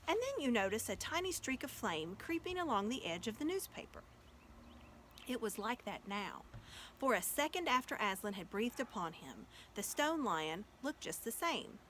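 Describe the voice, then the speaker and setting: A woman reading a children's story aloud as an expressive storyteller. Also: a little high, slight background noise.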